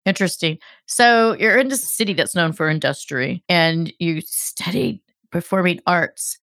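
A woman hosting a podcast, reading a scripted introduction in a measured, announcer-like way and interviewing a guest. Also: lowish voice, fairly bright tone, no room sound. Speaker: podcast host.

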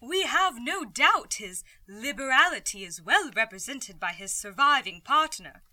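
An earnest well-bred charity worker, radio play, crisp bright high voice, animated, formal. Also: female voice, a little slow, heavily acted. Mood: polite, earnest. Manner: formal, persistent. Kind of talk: theatrical radio play acting.

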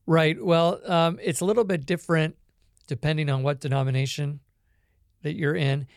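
A Canadian man, a podcast guest, telling his life story in a relaxed, reflective way with many small pauses. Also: a little high, vocal fry, no room sound, studio quality. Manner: reflective storytelling with frequent ums. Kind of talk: conversational interview.

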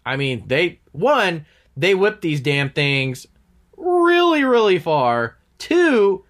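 A man's voice: high-pitched, very animated, slow, fairly bright tone. Male podcast co-host, congested, casual run-on recap delivery. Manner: rambling, recounts scenes in long run-on stretches. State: stuffy nose.

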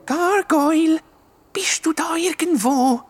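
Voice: medium pitch, expressive, moderate pace, fairly bright tone, faint music behind, pitched above the performer's own voice. A storyteller voicing a shy purple elf who explains things hesitantly but eagerly.

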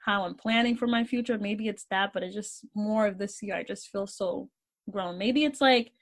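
A woman in her twenties co-hosting a podcast, relaxed and affirming in casual chat. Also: medium pitch, moderate pace. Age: 20s. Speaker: podcast co-host.

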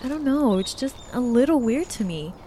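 A woman's voice: a little high, lively intonation, moderate pace, mellow, a little hiss or hum, natural voice. An actress playing a playful, reluctant city woman in an audio drama, speaking casually with affectionate complaint. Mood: curious, suspicious. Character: Temi, reluctant, teasing city woman on a hike. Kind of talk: naturalistic audio drama dialogue.

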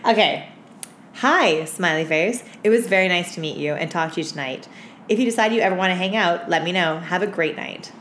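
A woman's voice: lowish voice, brisk, fairly bright tone. A woman co-hosting a podcast, chatting crudely and comically with plenty of slang, swearing and giggles. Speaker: podcast co-host. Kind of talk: unfiltered comic chat and anecdotes. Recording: roomy echo with background noise.

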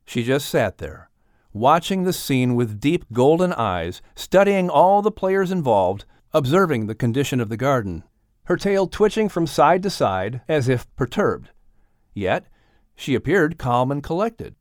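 A man's voice: medium pitch, very animated, a little slow, dry recording, clean studio sound. A man reading a scripted children's animal story as an audiobook narrator.

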